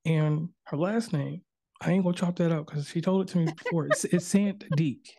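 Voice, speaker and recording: Male podcast host, mellow tone, dry close sound, easygoing and chatty. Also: on the high side, normal speed, no echo. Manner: loose, chatty, drawling with fillers. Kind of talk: casual interview over video call. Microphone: video call.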